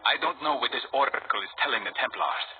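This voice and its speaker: A man playing a calm, stern, guarded assassin hero in a video game, speaking in measured, terse lines. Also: medium pitch, close to an everyday voice. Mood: stern, urgent. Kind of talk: video game character acting. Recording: tinny band-limited game audio, noisy.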